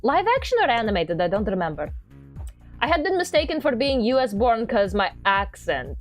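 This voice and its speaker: High, animated woman chatting on stream. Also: normal speed, faint music behind. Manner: playful, rambling, repeats herself. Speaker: livestreamer. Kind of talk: casual chat with viewers while doing crafts on stream.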